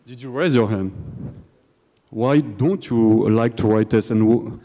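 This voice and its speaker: Young French-accented man presenting at a conference; casual, joking, full of fillers, mid-pitched voice in a hall with long reverb. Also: measured pace, warm tone. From France. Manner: joking, hesitant, many fillers and restarts. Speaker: conference speaker. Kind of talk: live conference talk. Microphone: handheld or stage microphone.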